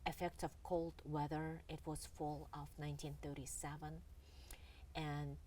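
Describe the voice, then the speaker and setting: A middle-aged woman with a low voice tells her family's history slowly and earnestly, pausing often to find her words. She sits a bit back from the microphone, and there is a faint hum of background noise. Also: little pitch movement, mellow, far from the mic. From Russia. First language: Russian. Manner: earnest, halting, with many pauses and fillers. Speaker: author and interview guest. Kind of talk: reflective storytelling in an interview.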